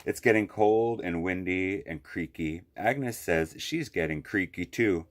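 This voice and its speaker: A man reading a children's picture book aloud as a library storyteller, with deliberate phrasing and long pauses. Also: sits low.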